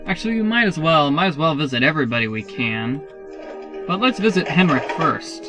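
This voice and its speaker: A man's voice: on the high side, expressive, fairly quick. Casual male game commentator over prominent music. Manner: offhand, chatty asides. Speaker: video game walkthrough host.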